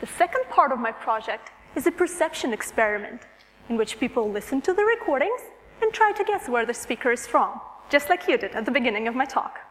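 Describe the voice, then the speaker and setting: A woman's voice: high voice, sing-song, a little fast, a bit bright. A woman with a Russian accent, a native Russian speaker, giving a prepared, composed three-minute thesis talk to an audience. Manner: clear, composed, engaging with the audience. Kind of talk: prepared public speech. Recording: long hall reverb.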